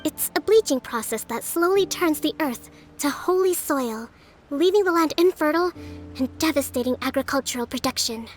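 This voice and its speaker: A woman playing a composed, earnest young island leader in a video game, speaking in a formal, measured manner. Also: high voice, expressive, faint music behind. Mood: patient, explaining.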